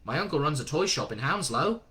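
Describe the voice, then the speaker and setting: A man's voice: a little high, animated, brisk, pushed and bright. A man voicing a timid, polite young man who speaks tentatively and stammers. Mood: flustered.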